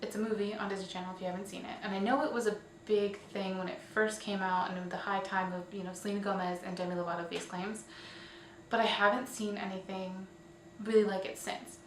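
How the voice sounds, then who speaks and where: Female vlog host, informal and hesitant, mid-pitched, recorded away from the mic in an echoey room. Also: little pitch movement, fairly quick. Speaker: host of a weekly online show. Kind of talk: casual scripted-outline vlog commentary. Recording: roomy, distant mic, faint noise.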